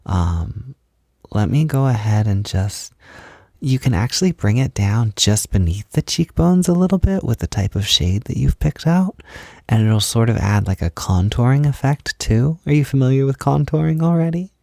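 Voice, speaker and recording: A man playing a tender, encouraging partner in an intimate roleplay audio, speaking softly in a gentle, hesitant, reassuring way. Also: mid-range voice, expressive, moderate pace, no echo, clean studio sound. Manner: gentle, reassuring, hesitant, with breathy whispered moments. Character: tender, encouraging man comforting partner while doing makeup. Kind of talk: intimate roleplay audio, soft ASMR talk.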